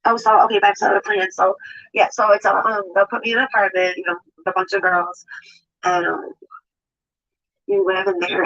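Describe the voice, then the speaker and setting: A woman's voice: medium pitch, creaky, a bit bright. A woman rambling hesitantly in a casual vlog, with many fillers. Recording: muffled lo-fi clip.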